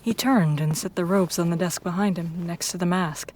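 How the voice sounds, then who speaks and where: A woman reading scripted first-person fiction in a measured storytelling manner. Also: fairly low pitch, fairly even intonation, darkish tone, a little hiss or hum. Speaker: story narrator.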